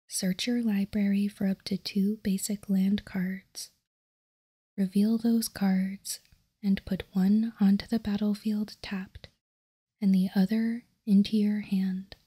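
A woman whispering softly in an unhurried, measured ASMR style as she reads out and comments. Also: mid-range voice. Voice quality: breathy, unvoiced whisper. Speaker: host of a card-game ASMR show.

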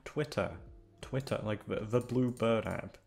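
A man's voice: lowish voice, a little slow, vocal fry, a little mellow. A man chatting casually and hesitantly to his listeners, rambling along in a self-deprecating, sweary way. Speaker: audio content creator.

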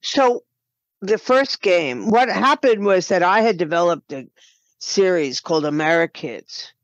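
A woman's voice: lowish voice, lively intonation, unhurried. An older woman tells stories conversationally as a podcast guest. The recording is slightly dull and lo-fi, like a remote connection, with very little room echo around her. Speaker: podcast guest, writer and producer. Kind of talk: conversational storytelling.

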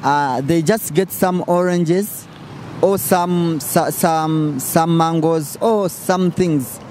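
A man's voice: on the high side, takes it slowly. A man on the street explaining his answer, stumbling now and then on words. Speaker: passer-by interviewee. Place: street outdoors. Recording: loud street traffic noise.

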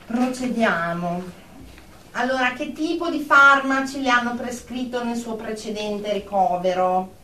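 A woman's voice: a little high, a little slow, sharp tone, a little hiss or hum. A woman playing a stern, condescending psychiatrist in a radio drama, clinical and emphatic in manner. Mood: clinical, probing. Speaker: therapist character. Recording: echoey room, distant from mic.

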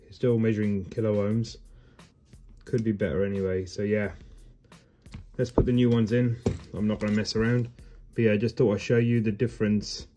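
A man with a fairly low, mellow voice talks through a repair as he works indoors in someone's home. He explains in a relaxed, matter-of-fact way, like a tradesman showing his job on camera. A faint hiss sits under the recording. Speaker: heating engineer. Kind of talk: casual how-to demo on the job. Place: indoors in a customer's home, wooden floor.

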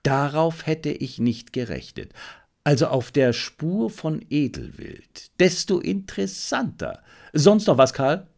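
A man reading the part of a shrewd male detective in an audiobook, brisk and decisive as he gives instructions. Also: fairly high pitch, animated, gravelly, a little mellow, exaggerated voice. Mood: surprised, intrigued.

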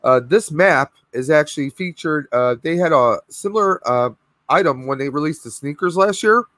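A man in his thirties hosting a casual live stream from home, a bit hoarse and clearing his throat, talking on a video call in a rambling way. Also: fairly high pitch, animated, moderate pace, a bit bright, dry recording, clean studio sound. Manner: chatty, rambling with fillers. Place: home room with collectibles, indoors. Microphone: webcam video call.

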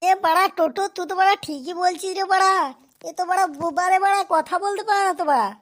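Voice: extremely high pitch, quick pace, fairly bright tone. A performer voicing a cheeky, meddling, foul-mouthed wedding companion in a cartoon, thin-voiced. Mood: startled. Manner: meddling, teasing, crude. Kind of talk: amateur comedy cartoon voice acting.

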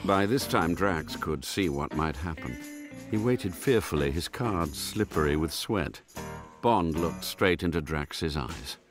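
An actor narrating a spy tale in a radio drama as a measured, scripted storyteller. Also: male voice, low-pitched, expressive, over music. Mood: suspenseful.

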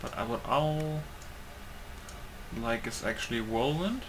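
A man commentates casually on his own game, thinking aloud in a rambling way and repeating words. His voice sits at an average pitch, with clear noise and game ambience behind it. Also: animated, slow pace. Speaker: gamer commentating on own playthrough. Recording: clearly noisy recording.

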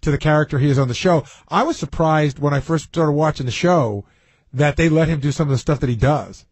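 Male radio staffer, quick, slightly high voice, casual anecdotes with quoted dialogue, dry studio. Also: husky, dry recording. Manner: animated storyteller who acts out dialogue. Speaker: radio show producer.

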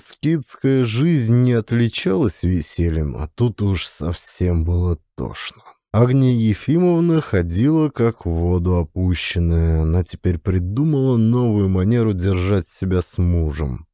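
A man reading classic literature as an audiobook, with measured, expressive, dramatic narration. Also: low-pitched, vocal fry, no room sound, studio quality.

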